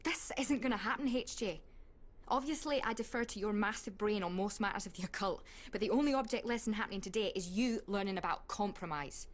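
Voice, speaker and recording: An actress playing a dry, firm member of school staff in a video game, with a wry, clipped way of talking. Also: female voice, medium pitch, a little fast, natural voice. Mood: firm, sardonic. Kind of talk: video game cutscene acting.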